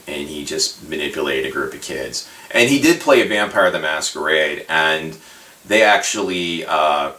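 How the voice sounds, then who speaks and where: Low-voiced man rambling in an echoey room. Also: pushed and bright. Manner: halting, hesitant, meandering, informal. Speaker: video host. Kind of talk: casual rambling commentary on a hobby. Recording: roomy echo, distant microphone.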